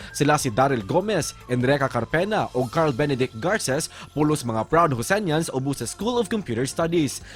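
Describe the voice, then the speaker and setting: A man with a Cebuano accent reading a formal, steady news lead-in. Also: on the high side. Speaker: news reporter.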